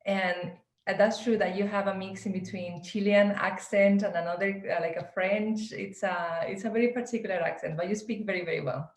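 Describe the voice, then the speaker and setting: A woman's voice: medium pitch, steady tone, fairly quick, hardly any pauses, reverberant. A woman interviewing a guest in a friendly, affirming way, with frequent hesitant restarts. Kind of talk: conversational interview.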